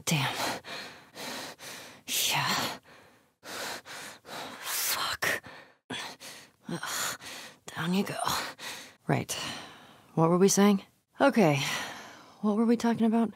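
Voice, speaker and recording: A woman's voice: mid-range voice, animated, extremely slow, pauses often, husky, no echo, exaggerated voice. An actress playing a tough, foul-mouthed, wry young woman survivor in a naturalistic video game performance. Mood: quiet, concentrating. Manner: muttering, terse, casually profane.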